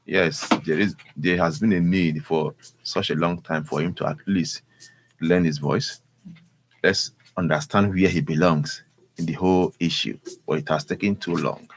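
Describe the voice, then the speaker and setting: A man with a Nigerian accent giving unscripted opinion as a news analyst, halting and repeating his words. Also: sits low, fairly even intonation, slight background noise. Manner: halting, repeats words, often says "you know".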